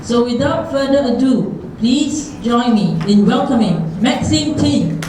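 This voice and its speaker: A woman's voice: fairly high pitch, slow. A woman hosting an event, reading a formal introduction of the next speaker with a few slips. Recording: echoey room, far from mic, faint noise.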